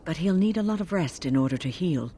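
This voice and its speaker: A woman playing a composed, practical older healer in a video game, with a calm, measured delivery. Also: fairly low pitch, husky. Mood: calm concern. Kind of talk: dramatic video game dialogue.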